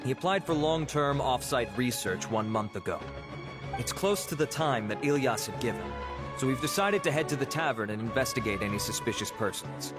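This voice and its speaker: A man's voice: medium pitch, animated, fairly quick, music in the background, lightly acted. A man playing a formal, dutiful investigator. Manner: formal, polite, businesslike.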